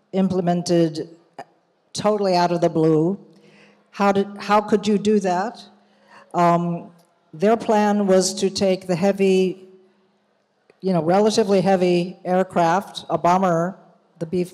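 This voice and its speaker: A woman from the United States, a journalist, giving a measured storytelling talk at a commemorative event, with some fillers and restarts. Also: fairly low pitch, a little mellow. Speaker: journalist and guest speaker. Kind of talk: prepared public talk. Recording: reverberant hall.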